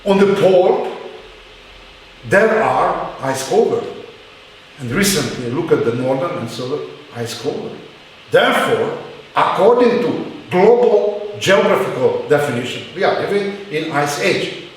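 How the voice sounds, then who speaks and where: A man with a Hungarian accent gives a university lecture in hesitant English, full of uh's and restarts. His slightly high voice is picked up from far off in a very echoey hall, with a faint hiss behind it. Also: very animated. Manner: halting non-native English with many fillers.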